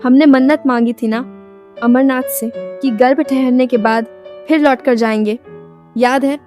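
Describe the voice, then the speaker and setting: A woman's voice: high-pitched, steady tone, measured pace, darkish tone, soft background music. A woman playing a poor, devout village wife in a moral cartoon story, earnest and pleading as she longs for a child. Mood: soft, resolute.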